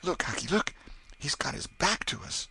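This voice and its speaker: A man voicing an excitable young boy, bold yet superstitious, whispering urgently in rustic dialect. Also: mid-range voice, moderate pace, husky, voice pushed up, full character voice.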